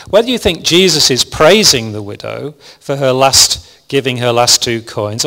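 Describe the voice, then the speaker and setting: A man delivers a sermon in a calm, even and rather monotonous voice, often restarting his phrases. His voice sits in a medium register. It carries a long reverb from the hall he is speaking in. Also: medium pitch. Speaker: preacher. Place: church hall with congregation.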